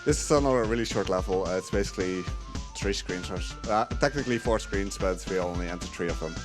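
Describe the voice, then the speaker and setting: A man giving relaxed live commentary on his own speedrun, chuckling often and filling gaps with "uh". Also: sits low, quick pace, music in the background. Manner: relaxed, chuckling, filler-heavy play-by-play.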